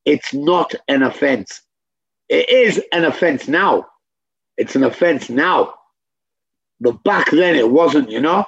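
A man speaks bluntly in an interview, swearing freely and driving each point home with repetition. His voice sits fairly high and gravelly with a husky edge. The recording sounds dull and lo-fi. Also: animated, slow pace, pauses often. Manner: blunt, profane, emphatic repetition. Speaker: interview guest. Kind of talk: candid conversation.

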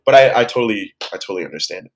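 Congested young man, low voice. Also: expressive, very fast, slight background noise. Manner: loose, rambling, swears casually. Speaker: podcast host. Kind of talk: casual podcast chat. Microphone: headphones on a voice call.

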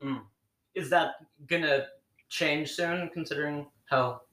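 A man's voice: fairly high pitch, steady tone, measured pace, fairly bright tone. A man hosting a podcast interview, asking questions hesitantly with fillers. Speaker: podcast host. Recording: echoey room.

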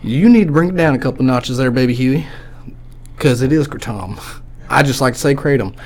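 A man's voice: medium pitch, lively intonation, fairly quick, darkish tone. A man hosting a podcast in casual chat, blunt and teasing, with casual swearing.

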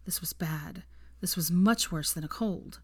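A woman narrates a piece of fiction in an expressive audiobook manner. Her voice sits slightly low. The recording is close and dry, with very little room around it. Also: measured pace, husky, no room sound.

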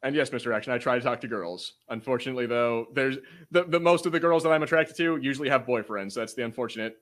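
A man's voice: medium pitch, quick pace, a bit bright. Casual, joking man on a podcast. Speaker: podcast co-host. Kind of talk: casual banter, storytelling.

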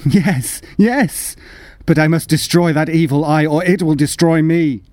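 A man's voice: fairly high pitch, darkish tone, close to an everyday voice. An actor playing a nervous, obsessive madman confessing a murderous plot, insistent and intense, in old-time radio horror style. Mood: frantic. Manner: insistent, intense, deliberate self-justifying delivery. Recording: long reverb, music behind.

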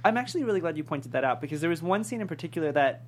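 A man's voice: a little high, expressive, rapid-fire. A man chatting casually and eagerly as a panelist. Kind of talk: casual chat. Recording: faint background hum.

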